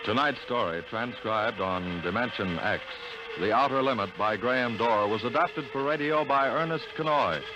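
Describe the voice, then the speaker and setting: A man's voice: medium pitch, normal speed, lo-fi sound. A man announcing in 1950s radio style, with a dramatic, teasing delivery, heard on a noisy old broadcast recording.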